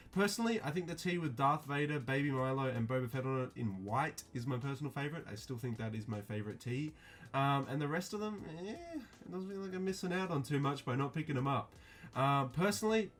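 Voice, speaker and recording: A man talking casually to his viewers, reviewing a clothing release in a flat, mildly disappointed way with lots of ums and restarts. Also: a little high. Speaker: streetwear vlogger.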